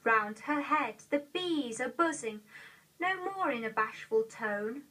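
High, bright young Englishwoman reciting poetry. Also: lively intonation, slow. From England. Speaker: poetry reader. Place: indoor room, seated. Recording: distant mic, old video recording.

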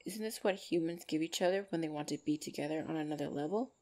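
Woman playing a tender, low-voiced orc girlfriend. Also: fast talker, a little mellow, close to an everyday voice. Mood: nervous, tender. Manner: tender, hesitant, intimate. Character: loving, protective, bashful female orc girlfriend. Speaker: voice actor in audio roleplay. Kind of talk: ASMR girlfriend roleplay, soft intimate acting.

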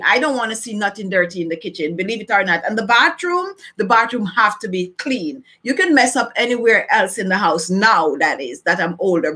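A woman's voice: medium pitch, sharp tone. A middle-aged woman with a Jamaican accent, chatting casually to livestream viewers and repeating phrases for emphasis. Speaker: beauty vlogger hosting a live chat. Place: small furnished room at home, indoors.

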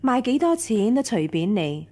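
A woman's voice: fairly high pitch, sing-song, normal speed, dark tone. Radio drama stern wealthy matriarch: commanding, curt, dismissive woman. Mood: cold, dismissive. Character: stern, imperious first wife of a wealthy household.

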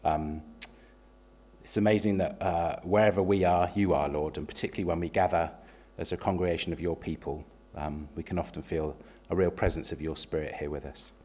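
A man in his thirties with a slightly croaky voice leads a church service, welcoming people and praying in a gentle, hesitant way with frequent ums, speaking into a stand microphone in a small church. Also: low voice, steady tone, a little fast. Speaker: lay worship leader. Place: small church sanctuary, indoors. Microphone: stand microphone at a lectern.

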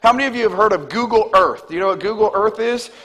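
Man, thirties, pastor, lively stage sermon, high voice, reverberant church hall. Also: fast. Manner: animated preaching, walking the stage. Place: large church stage, indoors.

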